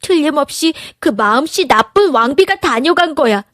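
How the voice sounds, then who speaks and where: Lady doing a little dwarf guy from a fairy tale, super high voice, emotional and excitable. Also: moderate pace, dry recording, clean studio sound. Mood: tearful worry. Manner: exclamatory, emotional. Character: excitable, soft-hearted male dwarfs fretting over their princess. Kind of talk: storybook character voice.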